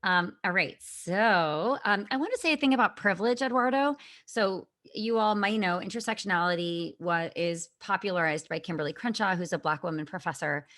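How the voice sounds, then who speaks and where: A woman's voice: mid-range voice, moderate pace, hardly any pauses, fairly bright tone, dry recording, clean studio sound. A woman speaking as a guest in a conversational interview, thoughtful and earnest, with frequent fillers, heard over a video call.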